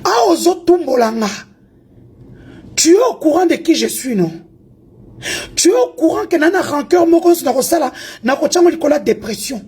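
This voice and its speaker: High-voiced woman ranting defiantly. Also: lively intonation, moderate pace, mellow. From Congo (DRC). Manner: confrontational, taunting, mixes French and Lingala. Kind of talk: heated online rant.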